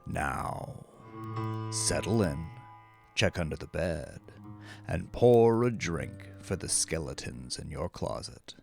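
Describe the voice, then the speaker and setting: A man narrating his own dark novel like a dramatic audiobook, brooding and deliberate, with gravelly phrases that often sink toward breathiness. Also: extremely low pitch. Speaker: novelist reading own novel, podcast host. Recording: faint music bed under voice. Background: faint music.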